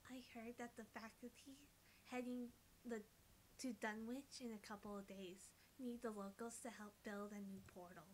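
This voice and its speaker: A woman's voice: fairly high pitch, fairly even intonation, moderate pace, natural voice, rough recording. A woman voicing an earnest lab worker telling eldritch lore in a visual novel read-aloud. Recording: faint background hiss.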